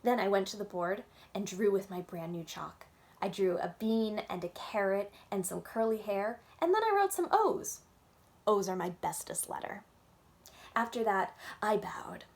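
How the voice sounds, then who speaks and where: A woman storyteller reads a children's book aloud in a medium-pitched voice. She narrates in the first person with childlike, emphatic expression. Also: animated, a little slow.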